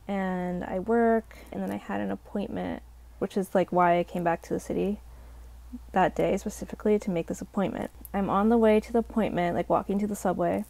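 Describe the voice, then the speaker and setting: A young woman, slightly hoarse, telling a rambling casual storytime full of "like" fillers. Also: medium pitch, dark tone. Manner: rambling, heavy use of "like" fillers. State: slightly hoarse from a sore throat. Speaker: vlogger.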